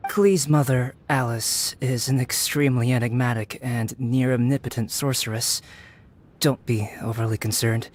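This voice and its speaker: A man playing a reserved, formal young adventurer with a measured delivery in a video game. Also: mid-range voice, steady tone, a little mellow, lightly acted. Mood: calm, reassuring.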